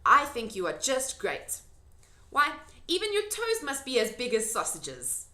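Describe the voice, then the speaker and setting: Woman as a high, bright, earnest little girl. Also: wildly swooping pitch, a little slow, exaggerated voice. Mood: kindly. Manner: questioning, earnest. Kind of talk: children's storybook reading. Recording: echoey room.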